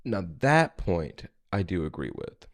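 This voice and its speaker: Very deep-voiced man giving casual commentary. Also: very animated, measured pace. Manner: informal, conversational. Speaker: commentary video host. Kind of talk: casual opinionated reaction commentary.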